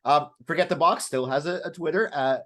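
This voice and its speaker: High-voiced, rambling man. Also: pushed and bright. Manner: halting, rambling, self-deprecating. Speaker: co-host. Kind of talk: casual, unscripted chat.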